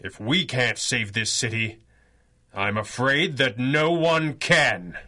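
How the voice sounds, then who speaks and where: An actor playing a stern, analytical masked superhero, speaking gravely in the style of a 1930s radio serial. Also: male voice, mid-range voice, takes it slowly, over music, lightly acted. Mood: grave, pondering. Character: stern, commanding masked superhero crime-fighter, calmly analytical.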